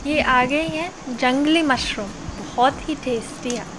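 A woman's voice: high-pitched, takes it slowly, background noise. A young woman giving upbeat, informative voice-over for a travel vlog. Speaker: travel vlogger. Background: music.

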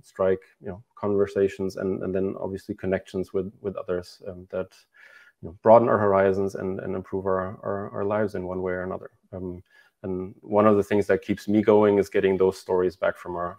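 A man in his thirties with a low, gravelly voice, speaking over a video call as a company chief. He answers with frequent fillers and repeated false starts, circling back before landing his points. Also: darkish tone. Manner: hesitant, many restarts and fillers. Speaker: company CEO, guest. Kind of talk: remote interview answers. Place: bright room at home, indoors.

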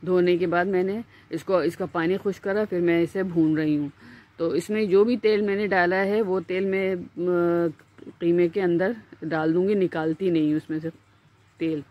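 Pakistani urdu accent lady talking thru her recipe, chatty, lots of little pauses. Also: fairly low pitch, steady tone, lo-fi sound. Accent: Urdu-speaking Pakistani. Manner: chatty, step-by-step explaining with fillers. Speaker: cooking channel host. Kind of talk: home cooking voice-over.

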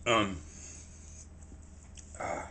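A man, telling a story to the camera in a rambling, halting way and sounding a little shaky, recorded in a small room on a camera in front of him. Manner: rambling, halting, animated hand gestures. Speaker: vlogger.

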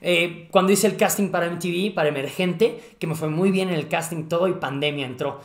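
A man of thirty with a Mexican accent, hosting a podcast and chatting casually with lively gestures and plenty of slang. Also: fairly high pitch, brisk, reverberant. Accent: Mexican Spanish. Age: 30s. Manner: animated, gestures a lot, slangy. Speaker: podcast host. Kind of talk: casual chat. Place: living room with a fireplace.

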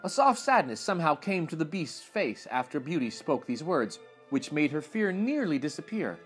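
A man in his thirties reading a fairytale aloud to children in expressive narration. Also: a little high, moderate pace, soft background music. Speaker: children's story reader. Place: small furnished room indoors.

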